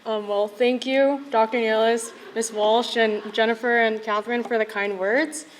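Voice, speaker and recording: A young woman in her late teens, a graduating student, giving a measured, reserved speech at a podium microphone. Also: on the high side, steady tone, slight background noise. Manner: soft-spoken, reserved, measured. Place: school event hall.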